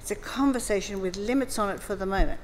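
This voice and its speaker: A woman's voice: mid-range voice, fast talker, a crowd in the background. A woman speaking on a conference panel, emphatic and forthright in a conversational way.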